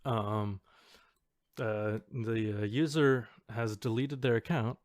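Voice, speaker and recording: A man's voice: on the low side, fairly even intonation, takes it slowly, dry recording, studio quality. A man co-hosting a casual podcast, chatting and reading posts aloud in an amused, sometimes hesitant way. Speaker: podcast co-host.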